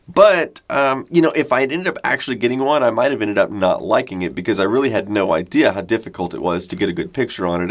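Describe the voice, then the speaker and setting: A man in his forties hosting a tech video, explaining things in a conversational way. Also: on the low side. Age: 40s. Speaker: host of a retro technology video channel.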